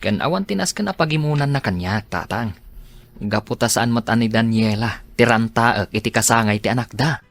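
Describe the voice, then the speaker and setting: Radio drama lead: man playing protective, earnest Ilocano male friend, mid pitch, apt to scold. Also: moderate pace, no room sound, close to an everyday voice. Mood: calm, earnest. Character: protective, exasperated man, godfather-like friend to his ex.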